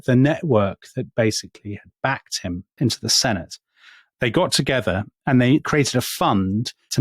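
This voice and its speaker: A man co-hosting a history podcast, chatting and telling a story with easy asides. His voice sits in an ordinary middle pitch. It is recorded close and dry, with no room around it. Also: no echo. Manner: chatty, storytelling, laughs often. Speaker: podcast co-host. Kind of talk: casual history podcast chat.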